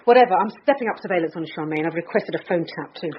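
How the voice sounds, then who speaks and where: An actress playing a brisk, dogged British police detective in charge, reasoning aloud in a naturalistic radio drama. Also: female voice, fairly low pitch, expressive, lightly acted. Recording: dull radio recording with faint noise.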